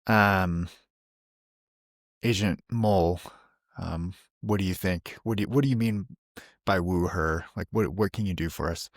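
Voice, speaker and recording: Deep, slow-talking man at a game table. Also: dry recording, clean studio sound. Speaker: tabletop roleplaying player. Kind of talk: casual improvised game chat.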